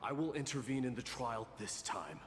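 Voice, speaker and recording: An actor playing a stern, proud male warrior in a video game, speaking formally and deliberately. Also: mid-range voice, in a large hall, slight background noise, lightly acted. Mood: grave, resolute. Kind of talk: dramatic video game voice acting.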